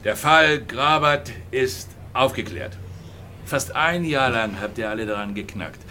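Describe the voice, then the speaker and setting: A hearty police boss in a radio drama, speaking to assembled staff with mock formality. His voice is bright and forceful, with some background noise. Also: medium pitch, unhurried. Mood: hearty, official. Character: male police superior, jovially official speechmaker. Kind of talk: German radio drama acting, office speech.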